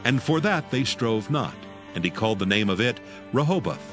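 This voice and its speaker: A man narrating scripture as a scripted reading, in measured, formal storytelling. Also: medium pitch, animated, a bit bright, soft background music.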